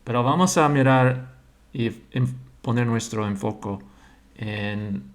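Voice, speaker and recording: A man, a church member slowly sharing his religious thoughts and pausing to search for words in a language he learned later. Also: mid-range voice. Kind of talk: slow personal religious monologue.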